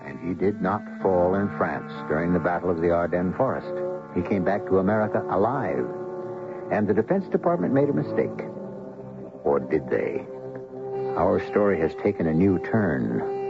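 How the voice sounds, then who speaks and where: A man hosting a radio mystery drama, narrating from a script in a portentous, deliberately phrased style. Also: low voice. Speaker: host and narrator. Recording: dull old radio recording, music behind. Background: music.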